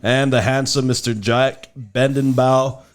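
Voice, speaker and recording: A man's voice: medium pitch, steady tone, measured pace. Chatty young male podcast host. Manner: chatty, swears casually, laughs often. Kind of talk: casual podcast interview. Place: podcast studio.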